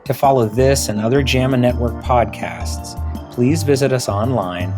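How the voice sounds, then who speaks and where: A man's voice: medium pitch, normal speed, over music. A man who is a physician hosting a medical podcast, talking conversationally with informal, affirming phrasing.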